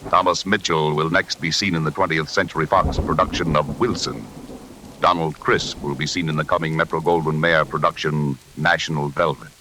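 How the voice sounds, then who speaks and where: A man's voice: extremely low pitch, fairly even intonation, normal speed, noisy background. An American man announcing formally and solemnly on 1940s radio. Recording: old 1940s radio broadcast recording.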